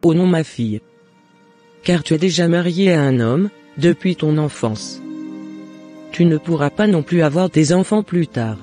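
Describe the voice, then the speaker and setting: Man voicing a mellow, remorseful cartoon mother. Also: fairly high pitch, animated, unhurried, soft background music. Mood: apologetic. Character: remorseful middle-aged mother confessing to her daughter. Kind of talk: scripted cartoon dialogue.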